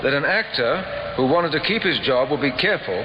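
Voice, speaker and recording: An actor playing a polite, probing police inspector with a measured, formal questioning manner in an old radio crime drama. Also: male voice, fairly high pitch, animated, muffled recording, close to an everyday voice. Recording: hall reverb, faint noise, old radio.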